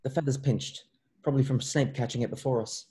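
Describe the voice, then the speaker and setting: A man's voice: medium pitch, steady tone, a little fast, dark tone, a little hiss or hum, natural voice. A man playing a wry, practical, loyal schoolboy wizard in a screenplay table read.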